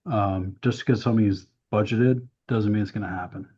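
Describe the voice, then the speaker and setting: A man presenting a town budget at a council workshop, hoarse from losing his voice, with a hesitant manner full of "um"s. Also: fairly low pitch, fairly even intonation, normal speed, dark tone. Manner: hesitant, many "um"s, explains plainly. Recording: dull, muffled meeting audio.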